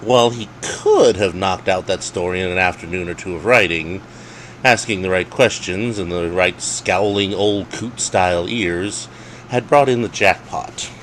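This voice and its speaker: Male narrator, low register, wry storyteller reading spooky comedy, slight noise behind the voice. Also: unhurried, a bit bright, low-quality recording. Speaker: author reading own story.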